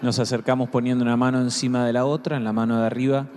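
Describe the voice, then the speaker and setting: A young man with an Argentine accent, a priest reading prayers and giving communion instructions in measured tones. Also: medium pitch, little pitch movement, darkish tone. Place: large church. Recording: long church reverb.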